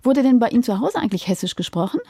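A woman's voice: fairly high pitch, expressive, very fast, warm tone, breathy edge, dry recording. A woman with pronunciation, hosting a studio radio interview and putting prepared questions to a guest.

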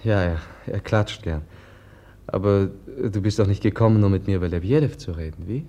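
An actor playing a gentle, bewildered, earnest prince in a classic radio drama, with a mild and hesitant manner. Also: male voice, fairly low pitch, moderate pace, highs cut off. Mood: puzzled, mild.

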